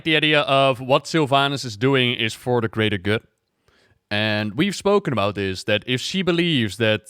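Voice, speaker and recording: A man gives casual, effusive reaction commentary in a smooth, clear voice of middling pitch. He is close to the mic, with a very dry sound. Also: fairly bright tone, no room sound. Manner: enthusiastic, effusive. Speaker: video game lore reactor.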